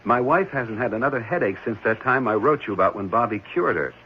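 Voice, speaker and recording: An actor playing a gentle, earnest married foster father in a 1970s radio drama, speaking hesitantly and reassuringly. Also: medium pitch, expressive, a little fast, natural voice. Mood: excited. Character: gentle, caring married man fostering an orphan boy. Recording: muffled, noisy old radio broadcast.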